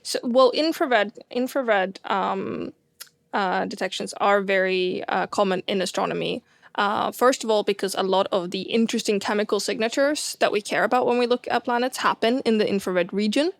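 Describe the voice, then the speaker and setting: A woman with a Swedish accent explains astronomy in an eager, rambling way, pausing on fillers and restarting her sentences. Her voice sits at an average pitch. The recording is close and dry, with no room sound. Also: vocal fry, dry recording. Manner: enthusiastic, many fillers and restarts. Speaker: co-host, astronomer. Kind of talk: casual science chat.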